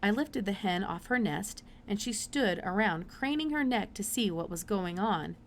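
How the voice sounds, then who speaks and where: A woman's voice: medium pitch, expressive, normal speed. Woman narrating a story, noisy recording. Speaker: storybook reader.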